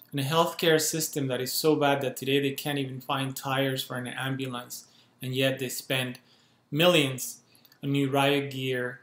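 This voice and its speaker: A man in his thirties from Cuba makes a heartfelt appeal straight into a webcam. He speaks in measured, urgent phrases at a middle pitch. The small room at home gives his voice a noticeable echo. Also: a bit bright. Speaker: activist making an appeal. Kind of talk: earnest direct-to-camera appeal. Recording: roomy echo from a bare room.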